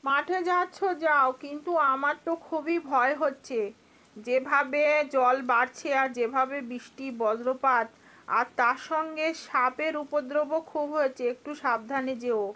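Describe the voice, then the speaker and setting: A woman's voice: high voice, fairly even intonation, unhurried, bright, forceful tone, poor audio. A woman voicing a caring, anxious farmer's wife in a cartoon folk tale. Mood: worried.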